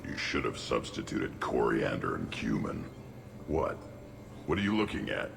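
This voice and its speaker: A hulking alien brute for a video game. The voice is extremely low and thick with gravel. He talks in blunt, terse bursts that swing widely in pitch, with music behind him. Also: big pitch swings, measured pace, noisy background, heavily acted. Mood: gruff. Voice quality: very deep, gravelly. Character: gruff hulking male alien brute at a party.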